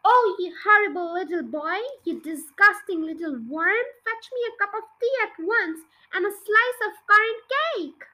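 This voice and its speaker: A woman's voice: a little slow, hardly any pauses, exaggerated voice, rough recording. A woman putting on a screeching bossy old grandma. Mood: shrieking. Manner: shrill, yelling.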